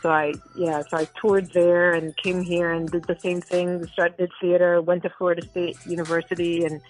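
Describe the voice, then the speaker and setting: A young adult woman from Jamaica with a Jamaican accent, an actress talking about her career as a guest on a phone interview, lively and quick to laugh. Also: on the low side, soft background music, poor audio. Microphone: phone line.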